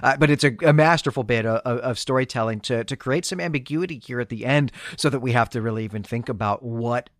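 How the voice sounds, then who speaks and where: A man co-hosting a podcast who muses over a story in a halting way, with many fillers and light laughs. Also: mid-range voice, no echo, clean studio sound. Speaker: podcast co-host. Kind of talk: casual discussion.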